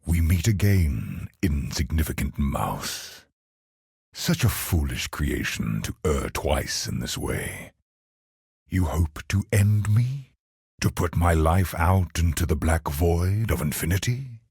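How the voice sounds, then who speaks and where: An actor playing an ancient, imperious male cosmic villain in a video game, older and husky, with portentous, theatrical delivery. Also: extremely low pitch, very animated, a little mellow, dry recording, studio quality, full character voice. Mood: hushed menace. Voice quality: gravelly, husky. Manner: slow, portentous, theatrical pronouncements.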